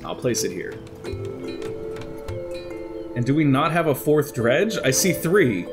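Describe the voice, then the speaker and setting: A man's voice: mid-range voice, very animated, slow pace, over music. A young man playing a strategy game on a headset mic, slightly congested from allergies, talking through his moves aloud in a chatty way. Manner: chatty, thinking aloud while planning moves. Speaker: gaming commentator. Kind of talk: let's play strategy game commentary.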